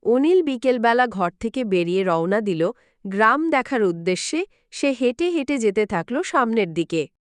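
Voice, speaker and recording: Woman telling a kids cartoon story, smooth clear voice, a bit high, dry booth. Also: animated, moderate pace, dry recording. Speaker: narrator. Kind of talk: storybook narration.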